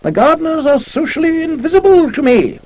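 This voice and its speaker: A man's voice: big pitch swings, a little fast, a little mellow. A man voicing a snobbish, self-important court poet, pompous and pedantic, in a story on old cassette. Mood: haughty. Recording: low-quality transfer from old audiocassette.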